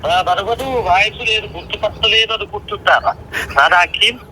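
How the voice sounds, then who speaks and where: A man in a casual, excitable phone conversation. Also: high-pitched, fairly quick. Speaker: phone call recipient. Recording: phone call, faint noise.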